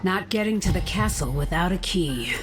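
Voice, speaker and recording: A woman voicing a level-headed, wary fighter who speaks calmly and skeptically. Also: fairly low pitch, moderate pace, natural voice. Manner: calm, steady, skeptical. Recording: loud game music behind.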